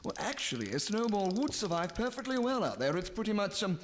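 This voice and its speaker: A man's voice: high voice, big pitch swings, a little fast, a little hiss or hum. An actor with an upper-class English accent playing a timid, deferential officer who hesitates as he speaks.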